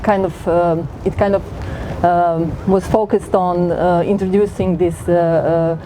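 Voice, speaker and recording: A woman's voice: on the low side, steady tone, takes it slowly, warm tone, low-quality recording. A woman from northern Serbia, a research fellow speaking on an outdoor city tour in a hesitant, friendly way with many fillers. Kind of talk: talk on a guided city tour. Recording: outdoor street noise. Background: street traffic.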